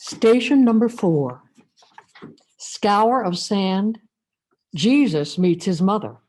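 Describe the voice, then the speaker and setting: A woman's voice: medium pitch, lively intonation, takes it slowly, a little mellow, rough recording. A woman reading a dramatic first-person meditation as an anguished, defiant biblical woman, in an emotive, theatrical manner. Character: Mary Magdalene, anguished, defiant former prostitute. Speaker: reader of a church meditation.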